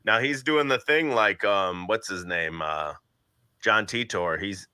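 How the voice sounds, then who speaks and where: A man's voice: on the low side, measured pace, pushed and bright, clear, resonant tone, no room sound, clean studio sound. A man from Minnesota hosting a stream, chatting in a laid-back, slangy, joking way. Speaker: stream host. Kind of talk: casual chat.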